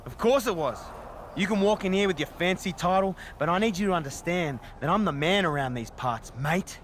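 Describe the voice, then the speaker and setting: Game role: taunting, sarcastic male wrestler, raised pitch. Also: lively intonation, brisk, fairly bright tone, slight background noise. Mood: mocking. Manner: sarcastic, mocking. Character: cocky, taunting male wrestler guarding his turf. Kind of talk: video game cutscene dialogue.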